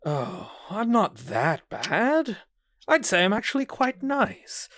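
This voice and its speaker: A smug, swooping, slow-talking psychiatrist. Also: male voice, high voice, very animated, a bit bright, dry recording, studio quality, full character voice. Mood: smug, prying. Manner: smug, drawn-out, mock-polite. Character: condescending, falsely cheerful male psychiatrist in horror drama. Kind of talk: theatrical audio drama acting.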